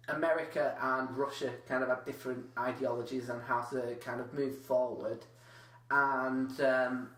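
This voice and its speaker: A man's voice: mid-range voice, fairly bright tone. A young man hosting a casual comedy podcast, rambling and hedging with lots of "like"s. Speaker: podcast host. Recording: roomy echo with faint hum.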